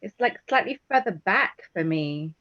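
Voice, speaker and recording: A woman's voice: mid-range voice, expressive, normal speed, dry recording, highs cut off. A woman taking part in an online meditation group over a video call. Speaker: meditation participant. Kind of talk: casual group chat.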